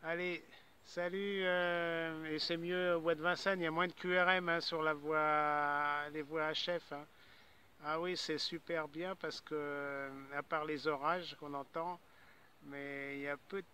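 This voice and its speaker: Bright-voiced man in casual radio chat. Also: on the high side, slight background noise. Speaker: amateur radio operator.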